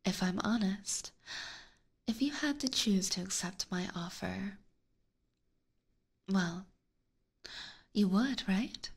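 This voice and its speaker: A woman voicing a possessive, aristocratic vampire catgirl in a slow, seductive, teasing whisper for an ASMR roleplay, close on a binaural microphone. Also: medium pitch. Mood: smug, persuasive. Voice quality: breathy whisper. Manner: slow, formal, coaxing.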